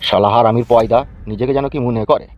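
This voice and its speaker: A man voicing a bullying, mocking, foul-mouthed gang boss in an animated comedy. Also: mid-range voice, fast, lightly acted. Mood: gruff command. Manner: mocking, threatening, swaggering. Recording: dull lo-fi track, faint music.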